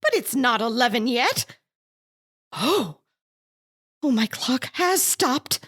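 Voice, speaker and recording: An actress playing a wealthy, demanding invalid wife, fretful and hysterical, in a melodramatic suspense radio play. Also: female voice, a little high, big pitch swings, slow pace, a bit bright, husky, full character voice. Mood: agitated, indignant.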